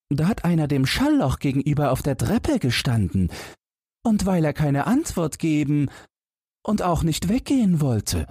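Man voicing a high-pitched, naive fairy-tale boy. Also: warm tone, husky, no room sound, studio quality, full character voice. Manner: guileless, matter-of-fact, earnest. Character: naive, carefree boy who wants to learn to shudder.

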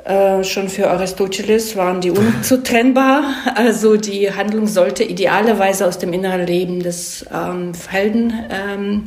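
A woman being interviewed as a screenwriter, explaining conversationally, haltingly and with digressions, often tagging questions onto her phrases. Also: mid-range voice, fairly even intonation, a little mellow. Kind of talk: conversational explanation of storytelling craft. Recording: echoey room.

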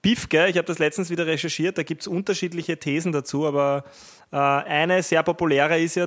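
Male co-host, somewhat high voice, explains at length with hedges. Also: a bit bright. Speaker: podcast co-host. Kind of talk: casual podcast chat.

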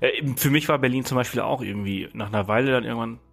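A male podcast host in relaxed conversation, talking at a middle pitch and often pausing on fillers. He restarts his sentences now and then. Faint music sits behind his voice. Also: vocal fry. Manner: chatty, many fillers and restarts. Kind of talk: casual interview chat.

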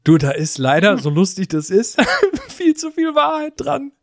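Male podcast co-host, lively intonation, explanatory yet playful and prone to chuckling, dry close sound. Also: high-pitched, measured pace, gravelly, no room sound. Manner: animated, explanatory, often chuckling while talking. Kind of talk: casual humorous podcast conversation.

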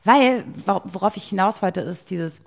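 A woman's voice: medium pitch, normal speed, creaky. A young adult woman chatting casually as a podcast co-host, in slangy speech sprinkled with English words. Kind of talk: casual chat.

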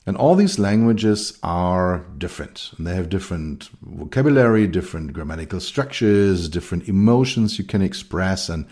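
A middle-aged man with a German accent talks through his ideas on his own. His voice sits very low and sounds mellow. He pauses, repeats words and fills gaps with ums. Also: lively intonation. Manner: thoughtful, with many fillers and restarts. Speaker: consultant and keynote speaker. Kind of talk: solo talk on design.

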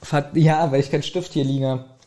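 A man's voice: a little high, steady tone, brisk, dark tone. A middle-aged man co-hosting a podcast, chatting in a casual, colloquial and jokey manner. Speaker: podcast co-host. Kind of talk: casual chat.

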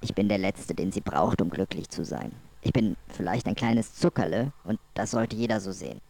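A man's voice: lowish voice, normal speed, vocal fry, a little mellow. A man making a short reflective statement through a voice-disguising effect, on a noisy clip. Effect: disguised, altered voice.

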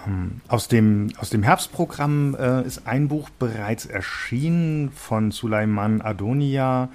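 A man's voice: medium pitch, takes it slowly, darkish tone. A man interviewing a publisher, summarizing books and asking questions while searching for words.

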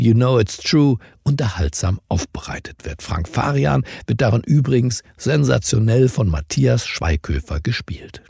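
A man hosting a morning briefing, narrating from a script in a formal, wry, measured way. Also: mid-range voice, creaky, a little mellow, husky, dry recording, studio quality. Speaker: podcast host.

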